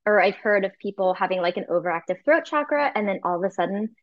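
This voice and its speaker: A woman's voice: medium pitch, lively intonation, fast, dry recording. Enthusiastic woman guest, slightly dull audio. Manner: warm, enthusiastic, uses "like" and "um" a lot. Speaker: guest, sound healer. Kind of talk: casual podcast interview.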